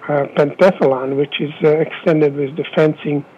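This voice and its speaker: A man in his seventies with a Hungarian accent, pausing often with fillers as he answers interview questions over the phone. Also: on the high side, steady tone, slight background noise. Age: 70s. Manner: halting, many filler pauses. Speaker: interviewee, former Olympic fencer. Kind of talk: oral history interview. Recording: dull lo-fi phone line.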